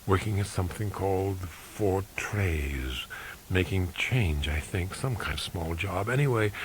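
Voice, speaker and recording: A man voicing a staunch, efficient, reserved career woman in an audiobook story, precise and measured. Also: sits low, a little hiss or hum, rough recording.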